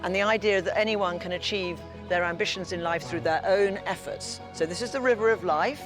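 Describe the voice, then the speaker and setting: A woman's voice: lowish voice, brisk. A middle-aged woman, chatting politely as she explains things on a guided tour of her estate. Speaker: estate owner giving a tour. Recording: music behind the voice.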